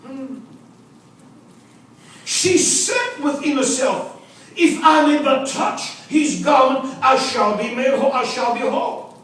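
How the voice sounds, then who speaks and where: A man's voice: extremely high pitch, slow pace, pauses often, a bit bright. A man preaching a revival sermon in English, his first language Afrikaans, with rhythmic repetition that builds to emphatic calls and joking asides to the congregation. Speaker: visiting preacher. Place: church sanctuary. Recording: echoey church, from a distance.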